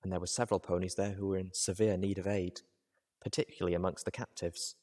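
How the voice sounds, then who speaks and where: A man voicing a poised, cool-headed female pony in a story reading, speaking in a measured and gently reproving way. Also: deep voice, flat delivery. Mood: composed, measured.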